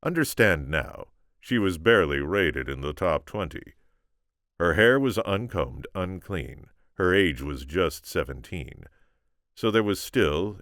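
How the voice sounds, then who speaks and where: Very deep-voiced man narrating a story. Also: fairly bright tone, no room sound, clean studio sound. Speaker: audiobook reader. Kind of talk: scripted story narration with informal asides.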